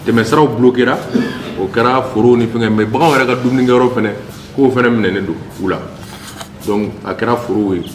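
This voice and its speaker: A man's voice: mid-range voice, normal speed. A man with a Malian accent giving a formal report to a meeting, itemizing point by point and mixing in French words. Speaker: town hall secretary general presenting workshop findings. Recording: echoey room with faint background noise.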